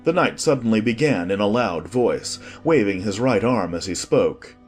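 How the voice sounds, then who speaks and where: Male narrator, lowish pitch, husky tone, scripted storybook reading, music softly behind. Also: expressive, normal speed.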